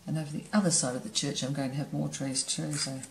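Low-voiced woman demonstrating painting. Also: fast, creaky, reverberant, slight background noise, low-quality recording. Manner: relaxed, thinking aloud while painting. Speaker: painting teacher. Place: studio table, camera overhead.

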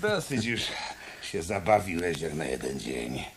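A man's voice: sits low, very animated, measured pace, background noise. An actor playing a cold adult male gangster and blackmailer in a radio drama, speaking in curt, mocking threats. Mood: menacing. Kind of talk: 1990s radio drama acting.

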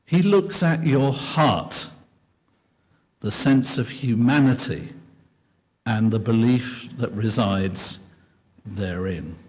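An older man from England giving an earnest, measured keynote lecture at a podium microphone in a large hall. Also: medium pitch, a little mellow. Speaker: keynote speaker. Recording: long hall reverb.

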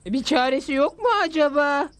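A man's voice: very high-pitched, fairly even intonation, normal speed, fairly bright tone, no echo. A man voicing a timid, poetic young newlywed in a Turkish cartoon dub, declaiming in florid, theatrical style. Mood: anxious. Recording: music bed under the dialogue.

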